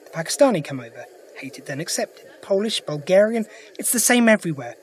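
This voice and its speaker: An actor playing a sharp, principled alien adventurer in an audio drama, brisk and inquisitive. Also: male voice, high-pitched, sing-song, slight background noise. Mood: thoughtful, brisk. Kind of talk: full-cast audio drama acting.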